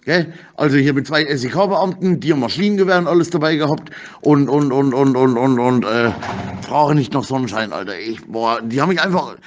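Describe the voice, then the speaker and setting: A man's voice: mid-range voice, a little fast, highs cut off. A man rambling in an agitated, slangy way, stammering and repeating words in a voice message.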